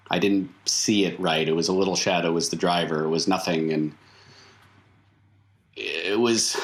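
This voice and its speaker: A man playing a weary, mysterious circus veteran in tabletop roleplay, explaining things patiently and haltingly. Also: sits low. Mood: regretful, weary. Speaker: game master. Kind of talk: improvised in-character tabletop roleplay.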